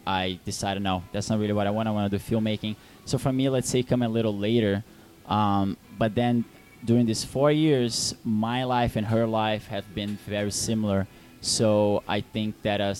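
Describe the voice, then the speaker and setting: A man's voice: lowish voice, a little mellow. A young Brazilian man with a Brazilian Portuguese accent, a film director answering interview questions, hesitant with many fillers and restarts.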